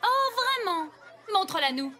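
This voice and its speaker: Shrill, swooping snooty cartoon filly. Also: female voice, big pitch swings, unhurried, bright, forceful tone, a faint crowd, slight background noise, heavily acted. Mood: smug mocking. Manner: haughty, mocking, theatrical. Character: snooty, spoiled mean young filly pony. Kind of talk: French cartoon dubbing.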